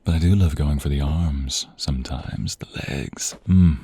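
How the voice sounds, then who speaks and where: Man acting a very deep, husky vampire master. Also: mellow, no room sound, heavily acted. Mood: teasing, predatory. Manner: slow, teasing, commanding, often slipping into whispers. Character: sinister, seductive male vampire, domineering and predatory master. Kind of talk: ASMR audio roleplay. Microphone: close, dry studio microphone.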